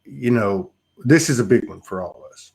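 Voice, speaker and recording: A man's voice: medium pitch, expressive, moderate pace, gravelly, darkish tone. A man hosting a spiritual conversation, musing aloud at length with many restarts. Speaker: host.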